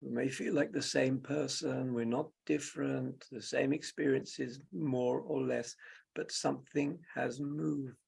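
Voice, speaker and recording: Male meditation teacher, very creaky and husky voice, leisurely delivery in short phrases with generous pauses. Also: medium pitch, fairly even intonation, darkish tone. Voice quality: gravelly, husky. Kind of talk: guided meditation, spoken slowly and softly.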